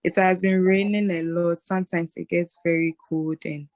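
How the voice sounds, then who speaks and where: A woman in her late twenties from Ghana, a guest speaking earnestly and at a measured pace on a video call. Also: on the low side, steady tone, darkish tone, no room sound. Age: 20s. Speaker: guest, mental health advocate. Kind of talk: casual interview conversation.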